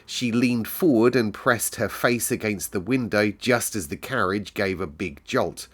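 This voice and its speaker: A man's voice: fairly low pitch, unhurried, a bit bright. A British man narrating a storybook aloud as a storyteller. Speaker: storyteller, host.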